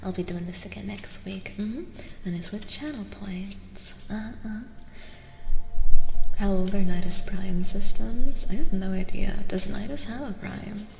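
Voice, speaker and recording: Soft whispery lady doing asmr tarot stream, slow and chatty, lots of reverb and some noise. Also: medium pitch. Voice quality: soft, often slipping into whispers. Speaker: VTuber streamer giving tarot readings. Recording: roomy reverb with clear background noise.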